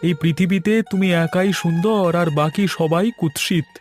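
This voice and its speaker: High-voiced, doting village husband played by an actor. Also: male voice, steady tone, normal speed, natural voice. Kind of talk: animated cartoon voice acting. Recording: music under the voice.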